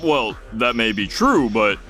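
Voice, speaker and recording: A man voicing a measured, businesslike company boss in a dubbed comic drama. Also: on the low side, sing-song, pushed and bright, soft background music, heavily acted. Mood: considering. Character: measured, businesslike male company boss, middle-aged or older.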